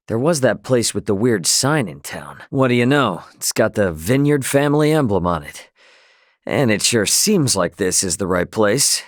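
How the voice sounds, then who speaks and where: An actor with an American accent playing a brash, easygoing spacefaring hero in a video game, with a cocky, slangy, joking delivery. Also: male voice, medium pitch, no room sound, studio quality, lightly acted. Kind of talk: video game voice lines, battle barks and chatter.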